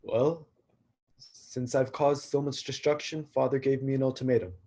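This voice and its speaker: Guy acting shy mopey young god, husky voice, hesitant, stage play reading. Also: medium pitch, fairly even intonation, normal speed, warm tone, natural voice. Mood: glum. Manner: hesitant, self-conscious. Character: awkward, downcast young male god of destruction.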